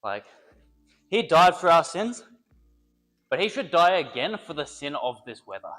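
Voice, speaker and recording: Lively man rambling through a workout. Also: a little high, a little slow, faint music behind. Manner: rambling, joking, thinks aloud between sets. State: slightly congested from a cold. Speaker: personal trainer. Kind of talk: casual workout vlog talk.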